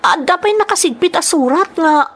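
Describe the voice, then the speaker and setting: A woman's voice: very high-pitched, expressive, moderate pace. A woman playing a startled, protesting young woman in an audio drama, with an emotional, questioning delivery.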